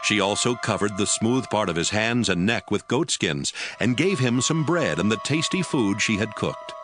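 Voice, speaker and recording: A man with a low voice narrates a dramatized scripture reading in an even, measured way. Music plays clearly under his reading. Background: background music.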